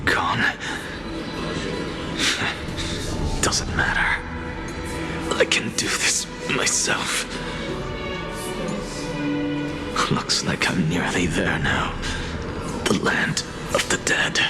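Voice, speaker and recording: An aloof scholar for a video game. He speaks low and unhurried, wry and self-assured, turning thoughts over as he goes. Loud music plays under him. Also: heavily acted. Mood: puzzled, intrigued. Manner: musing, wry, dry. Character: aloof, curious scholar facing death, amused and self-assured.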